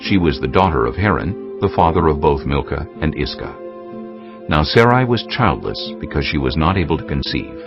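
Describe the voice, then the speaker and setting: A man narrating a dramatized scripture recording in a measured, solemn storytelling style. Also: a bass voice, big pitch swings. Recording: muffled sound under clear music.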